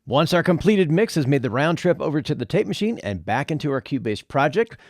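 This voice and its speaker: A man's voice: a little high, very animated, brisk, no echo, studio quality, soft background music. A man presenting an audio production tutorial, explaining things step by step. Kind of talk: tutorial narration.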